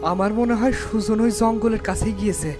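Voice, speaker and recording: A woman's voice: mid-range voice, normal speed, music in the background, close to an everyday voice. A woman voicing a steadfast, protective village woodcutter father in a fairy-tale cartoon, speaking firmly. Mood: concerned.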